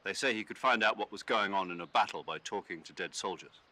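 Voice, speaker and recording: An actor playing an earnest, formal older male military commander in a video game, explaining things in a measured way. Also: fairly low pitch, sharp tone. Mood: grave, explanatory.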